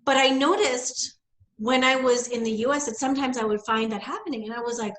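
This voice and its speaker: A young woman from the Bahamas tells a personal story in a relaxed, reflective way, with lots of fillers as she thinks aloud. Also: high voice, a little fast, echoey room. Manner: reflective, rambling, many fillers. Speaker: guest. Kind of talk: casual conversation, personal story.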